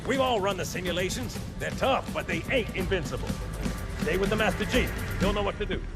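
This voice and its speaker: A man's voice: high voice, animated, fairly quick, gravelly. A man playing a brash, wisecracking veteran Marine sergeant who barks at his troops. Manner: brash, swaggering, barking at troops. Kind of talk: video game cutscene acting. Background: loud orchestral music.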